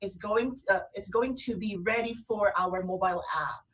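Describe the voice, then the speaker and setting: A woman with a Filipino accent co-hosting an online radio show, chatting casually and promotionally in an excitable, gushing way. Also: mid-range voice, moderate pace, distant mic, over a noisy crowd. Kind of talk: casual promotional chat between co-hosts.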